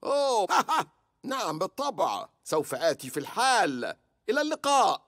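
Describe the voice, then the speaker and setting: A man's voice: high voice, very animated, normal speed, fairly bright tone, slight background noise. An actor voicing a cheery, stubborn old grandfather pig in a dubbed cartoon. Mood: hearty. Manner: hearty, chuckling.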